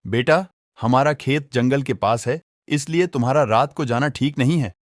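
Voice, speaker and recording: A man's voice: medium pitch, moderate pace, dry recording, studio quality, close to an everyday voice. A man voicing a protective middle-aged farmer father in a cartoon, firm and fatherly when he scolds. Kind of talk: Hindi cartoon dubbing.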